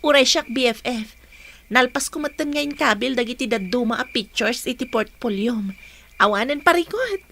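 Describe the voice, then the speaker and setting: A woman's voice: fairly high pitch, animated, moderate pace, creaky, sharp tone, a little hiss or hum, heavily acted. A woman acting a friendly schoolgirl chatting with her best friend in a radio drama. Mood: cheerful.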